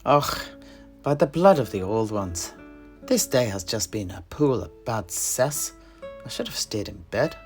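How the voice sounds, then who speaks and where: A man's voice: fairly low pitch, very animated, measured pace. A man playing an earthy, chatty alchemist and druid in a fantasy audio drama, telling things in a rambling, rustic way. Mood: weary grumbling. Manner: folksy, rambling storyteller with rustic dialect turns. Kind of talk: in-character fantasy audio roleplay. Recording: music behind the voice.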